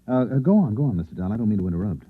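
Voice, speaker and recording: Husky, low-voiced radio newspaperman. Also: very animated, racing. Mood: calm, skeptical. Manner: soothing, hesitant, colloquial. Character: patient, skeptical newspaperman humoring a troubled stranger. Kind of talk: old-time radio acting. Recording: tinny, narrow-band old radio recording.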